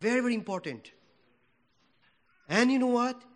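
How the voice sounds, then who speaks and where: A man from Nepal with a Nepali accent, for whom English is not his first language, preaching a sermon in a church, swinging from loud declarations to hushed prayer. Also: extremely high pitch, expressive, normal speed.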